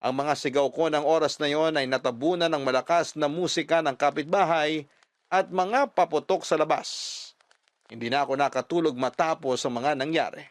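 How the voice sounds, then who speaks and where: A man's voice: on the high side, fairly even intonation, normal speed. A man, a radio drama host, reading a listener's story as dramatic first-person narration.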